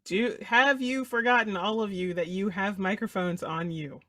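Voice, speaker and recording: Mid-pitched woman, dry casual chat. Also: normal speed, pushed and bright, no echo. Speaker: tabletop game player.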